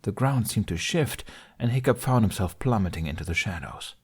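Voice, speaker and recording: A man narrates a fantasy fan fiction story in a steady, expressive reading. His voice sits low, and he keeps a calm storyteller's pace through the action. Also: moderate pace, husky. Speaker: podfic reader. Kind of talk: fanfiction audiobook narration.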